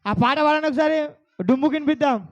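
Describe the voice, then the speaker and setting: A man's voice: extremely high pitch, fast, highs cut off. A man hosting a kids' bible puppet show, asking the children questions over and over.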